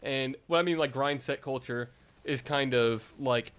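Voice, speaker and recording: A man's voice: mid-range voice, a little slow, fairly bright tone, clear, resonant tone. A young man vlogging in a casual, rambling way, full of fillers and self-deprecating asides.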